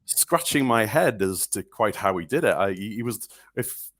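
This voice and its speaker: Guy from northeast england, real low voice, dry close sound, kinda rambly music chat. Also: animated, moderate pace, a bit bright, no echo. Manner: rambling, hesitant, full of restarts and fillers. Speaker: podcast co-host. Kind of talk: casual chat about music.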